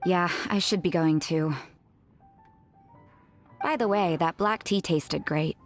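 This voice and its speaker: A woman's voice: on the low side, takes it slowly, faint music behind. An actress playing a cool, world-weary woman in a video game, speaking in a dry, blunt, unimpressed way. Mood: dry, mellowing.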